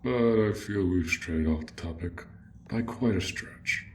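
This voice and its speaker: A man voicing a gentle, awkward dragon in an audio roleplay, with formal, old-fashioned, hesitant phrasing, speaking straight to the listener. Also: low-pitched, a little slow, a little hiss or hum. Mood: courteous, curious. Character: courteous, awkward, gentle male dragon, formal and hoard-proud.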